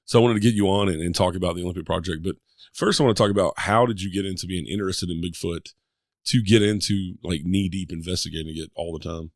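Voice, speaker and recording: A man's voice: sits low, fast, no echo, clean studio sound. A man hosting a podcast, easygoing and hesitant, with plenty of fillers. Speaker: podcast host. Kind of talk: casual interview chat.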